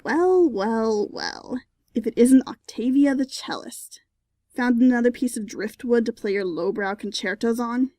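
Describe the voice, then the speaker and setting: A woman's voice: on the high side, measured pace, warm tone, no room sound, clean studio sound. A woman voicing a snobbish female unicorn musician, sneering and taunting, in an audio story. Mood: smug, mocking. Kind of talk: character acting in audio story reading.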